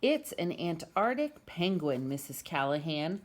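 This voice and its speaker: A woman's voice: low voice, sing-song, a little slow, full character voice. A woman voicing a polite, proud man in a storybook, explaining things mildly. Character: polite, proud man, Mister Popper, owner of a penguin.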